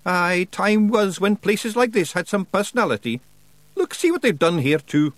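A man's voice: high-pitched, very animated, normal speed, no echo, voice pushed up. A man voicing a tiny, boisterous male fairy warrior chief with a broad Scottish accent in an audiobook. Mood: blustering. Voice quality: broad dialect, blustering and cheerful. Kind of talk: audiobook character voice.

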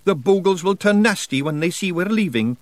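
A man voicing a tiny, fierce pictsie warrior in a broad put-on Scots accent, gruff and bossy but cheerful. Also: sits high, expressive, a little fast, dry recording, pitched above the performer's own voice.

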